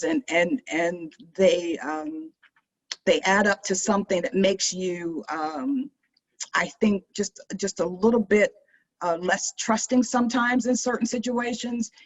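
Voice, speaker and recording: A woman's voice: medium pitch, poor audio. A woman speaking earnestly in a panel discussion, reflective, often restarting her sentences. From Maryland. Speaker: panel guest.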